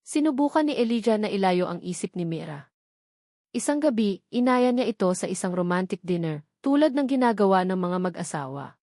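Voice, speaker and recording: A woman's voice: mid-range voice, animated, fairly quick, darkish tone, no echo. Woman narrator, dry close sound. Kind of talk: scripted dramatic love story narration.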